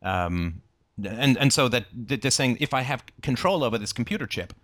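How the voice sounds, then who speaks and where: A man's voice: mid-range voice, expressive, normal speed, a bit bright. A man thinking aloud in an informal debate, explaining through hypothetical examples as he goes. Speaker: book club discussion host.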